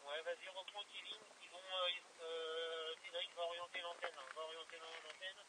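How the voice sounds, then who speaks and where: High-pitched man on noisy radio. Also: level tone, moderate pace. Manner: repeats phrases, frequent tag words. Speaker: amateur radio operator. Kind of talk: casual radio contact. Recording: noisy, distant two-way radio.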